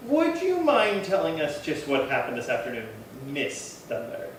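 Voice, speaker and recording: A man's voice: a little high, wildly swooping pitch, normal speed. A man playing an amiable, self-satisfied detective sidekick in a 1950s-style radio crime drama, folksy and keen to explain. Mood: polite. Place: live theatre performance space. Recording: very echoey hall, distant, faint noise.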